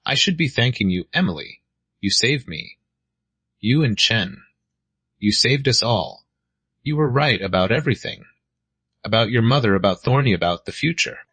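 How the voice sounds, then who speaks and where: A man playing a weary police detective and regretful father in a screenplay read, with a husky voice. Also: mid-range voice, animated, normal speed, long pauses, a bit bright, dry recording. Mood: grateful.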